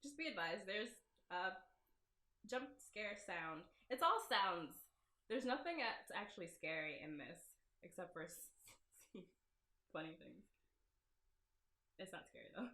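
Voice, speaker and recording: A woman's voice: mid-range voice, big pitch swings, a little slow, long pauses, sharp tone. Echoey-room woman, casual game playthrough. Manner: giggly, informal, self-deprecating asides. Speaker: game creator playing through own visual novel. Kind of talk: casual let's-play commentary mixed with reading game text aloud.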